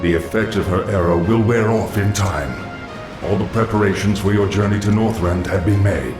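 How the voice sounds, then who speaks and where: An ominous undead lich, low and swathed in echo. He declaims with solemn devotion, as in a fantasy game cutscene, over music. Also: normal speed. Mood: devoted. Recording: heavily echoing voice over music.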